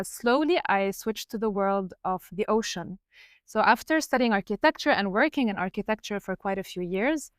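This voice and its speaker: Woman from Lebanon, 30s, podcast guest; smooth, clear voice, measured, dry close sound. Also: mid-range voice, dry recording. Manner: explanatory, measured, with fillers. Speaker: guest researcher. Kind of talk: podcast interview.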